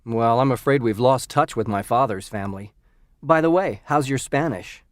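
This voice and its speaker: An actor playing a friendly young male university student in a scripted textbook dialogue, speaking clearly. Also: mid-range voice, moderate pace, dry recording, studio quality, lightly acted. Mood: chatty. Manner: lively, clearly enunciated. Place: recording studio.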